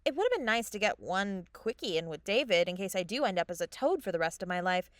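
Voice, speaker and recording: A woman's voice: mid-range voice, sing-song, fast, dry recording, lightly acted. A woman playing an eager young fairy on a quest in a fantasy comedy audio drama. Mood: confiding. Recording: outdoor nature sound effects behind voice.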